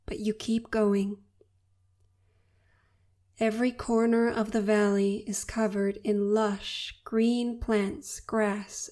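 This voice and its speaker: A woman narrating a guided meditation in a soothing, unhurried and deliberate manner. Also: on the high side, a little mellow.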